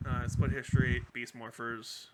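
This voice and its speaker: A man's voice: mid-range voice, level tone, measured pace, noisy background. A man co-hosting a podcast, chatting casually and playfully, often repeating his phrases. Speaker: podcast co-host. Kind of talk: casual fan chat.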